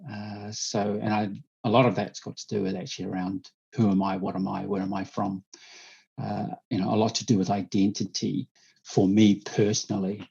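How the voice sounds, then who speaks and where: Gravelly, husky man on a lo-fi call. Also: on the low side, level tone, dark tone. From New Zealand. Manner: thoughtful, halting with many fillers and restarts. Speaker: guest storyteller. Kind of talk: conversational interview answers. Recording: dull lo-fi remote audio.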